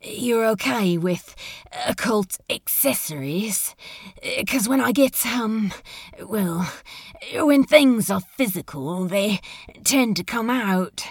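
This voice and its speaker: A woman playing a male demon in a fan audio drama, sardonic, exasperated and insecure, speaking in halting, drawn-out phrases. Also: mid-range voice, expressive, slightly rough, no echo, studio quality, exaggerated voice. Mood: hesitant.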